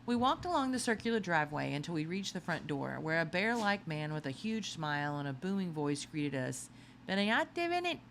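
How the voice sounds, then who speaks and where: A woman with a low voice narrates a children's novel. Her storytelling is expressive and swings widely in pitch. A little noise sits behind the recording. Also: a little fast, few pauses. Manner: expressive storytelling with acted dialogue. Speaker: audiobook reader. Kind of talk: scripted narration of a children's novel, read aloud.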